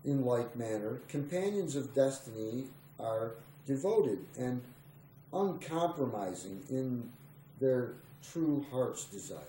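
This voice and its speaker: Male reader for spiritual text, slow and meditative delivery, roomy sound with slight background noise. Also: mid-range voice, lively intonation, dark tone. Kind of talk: slow meditative scripted reading.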